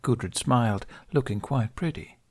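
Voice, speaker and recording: A man's voice: medium pitch, moderate pace. A man narrating an audiobook, reading scripted fiction as the storyteller.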